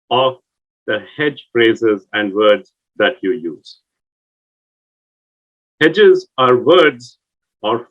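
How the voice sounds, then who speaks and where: Indian-accented male executive, rehearsed speech, drawn-out pauses, muffled clip audio. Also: mid-range voice, fairly bright tone. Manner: deliberate, with long exaggerated pauses.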